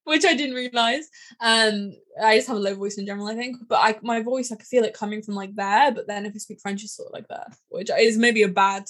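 A young Englishwoman chats loosely and pokes fun at herself, laughing a lot. Her voice sits a little high for a woman. She talks like a casual podcast co-host. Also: fairly quick, few pauses, fairly bright tone. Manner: laughing often, chatty and self-mocking. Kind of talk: casual chat.

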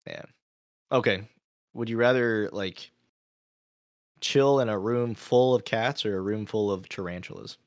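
A man's voice: fairly low pitch, a little slow, pauses often. A young man chatting casually as a co-host, playful and teasing. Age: young adult. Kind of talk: casual chat.

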